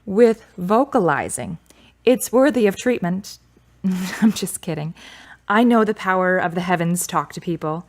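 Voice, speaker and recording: A woman's voice: on the low side, lively intonation, unhurried. A woman playing a grieving, frank young woman in a radio drama, with dramatic, earnest phrasing. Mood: teasing.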